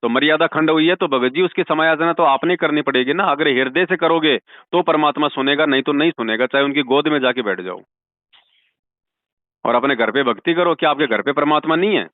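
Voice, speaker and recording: A man's voice: on the high side, brisk, dry recording. A man giving religious counsel over the phone, speaking in an insistent, preachy way and leaning on devotional sayings. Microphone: telephone. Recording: tinny phone call recording.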